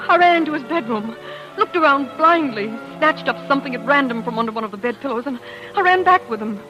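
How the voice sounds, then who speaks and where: An actress playing an anxious, devoted young wife in a 1940s radio drama, speaking earnestly and hesitantly. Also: female voice, high voice, lively intonation, fast talker, muffled recording. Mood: breathless narrating. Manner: hesitant, earnest delivery with faltering pleas. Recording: old 1940s radio broadcast with music.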